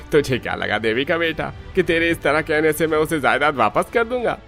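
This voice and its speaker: A man's voice: on the high side, lively intonation, moderate pace, vocal fry, a bit bright, faint music behind, voice pushed up. A man voicing a mocking, greedy, condescending father in a cartoon story. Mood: gloating, mocking. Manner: gloating, condescending, taunting. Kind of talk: Hindi cartoon story acting.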